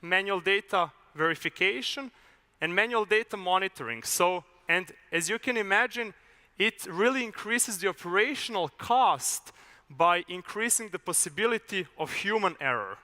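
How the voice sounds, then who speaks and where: A young man from Serbia, giving a measured, explanatory conference talk with a few filler uhs. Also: sits high, lively intonation, sharp tone. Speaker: conference speaker. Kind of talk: conference presentation. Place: large conference hall. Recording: reverberant hall.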